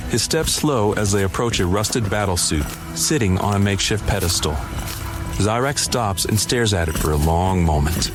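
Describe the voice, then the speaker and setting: Male narrator, low pitch, scripted audio drama storytelling, clear music bed. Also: lively intonation, normal speed.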